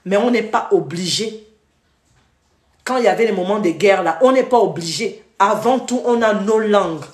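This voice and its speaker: A woman's voice: mid-range voice, moderate pace. A woman from Gabon hosting a live stream, scolding viewers in a heated, repetitive rant. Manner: scolding, repetitive, switches to English briefly. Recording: echoey room.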